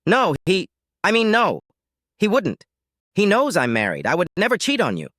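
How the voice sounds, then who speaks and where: A man's voice: medium pitch, animated, normal speed, fairly bright tone, dry recording, clean studio sound, voice pushed up, close to an everyday voice. A man voicing a distraught, pleading wife who stammers and gasps through her words. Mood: defensive, protesting.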